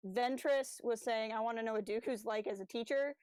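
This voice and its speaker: A woman's voice: a little high, brisk, darkish tone, clean tone, no echo. A woman co-hosting a podcast, talking through a review in a casual, conversational style. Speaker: podcast co-host.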